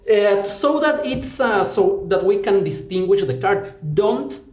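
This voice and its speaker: A man's voice: high voice, animated, a little slow, a little mellow. A man in his thirties with an Ecuadorian accent, speaking as a playful magician giving live close-up patter. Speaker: sleight-of-hand magician. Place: small indoor performance stage. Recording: echoey room with music behind.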